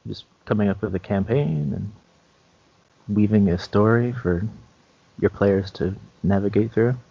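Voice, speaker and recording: A man's voice: fairly low pitch, unhurried, vocal fry, slightly rough, lo-fi sound. A man chatting casually as a podcast co-host, laid-back and joking. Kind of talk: casual chat.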